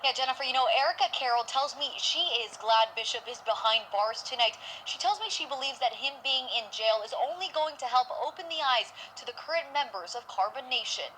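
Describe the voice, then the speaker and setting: A woman reporter delivers a live TV news report on location, her bright voice carrying a crisp edge. Her delivery is measured and clipped. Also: sits high, expressive, a little hiss or hum, low-quality recording.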